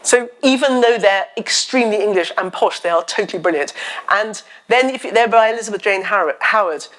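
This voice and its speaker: A loud-voiced woman novelist chatting on a festival stage, her speech running on in quick stories and wry asides. She talks into a stage mic before an audience and pitches her voice at a middling level, neither high nor low. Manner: chatty, animated storytelling with self-deprecating humour. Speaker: novelist guest. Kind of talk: live on-stage literary chat. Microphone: stage microphone.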